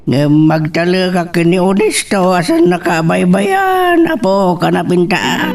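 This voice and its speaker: A man with an Ilocano accent playing a concerned, gentle older father in an amateur audio drama. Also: high voice, sing-song, unhurried, vocal fry, far from the mic, exaggerated voice. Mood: gently advising.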